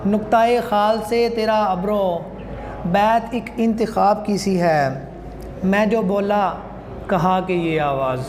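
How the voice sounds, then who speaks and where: Through a roomy, echoing hall and noticeable noise, a man recites poetry. His pitch is high for a man. He delivers each line in measured, declamatory phrases. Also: darkish tone. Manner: measured, repeating lines declamatorily. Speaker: student. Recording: very echoey hall, clear background noise.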